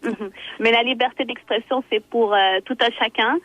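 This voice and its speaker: A woman calling in to a radio show, speaking haltingly with repeated words and a blunt, provocative edge. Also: on the high side, steady tone, fairly bright tone, no echo. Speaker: caller. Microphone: telephone. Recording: muffled phone line.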